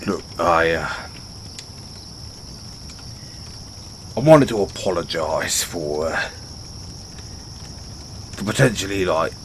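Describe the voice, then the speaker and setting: Man acting as a orc barbarian, low-pitched, unhurried, bashful brawler, halting and sheepish, fantasy roleplay, night crickets behind. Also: lively intonation, slight background noise. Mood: sheepish, apologetic. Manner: halting, fumbling speech with sheepish stammers. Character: gruff, good-hearted male orc barbarian, bashful loyal brawler. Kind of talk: fantasy audio roleplay, intimate one-sided dialogue.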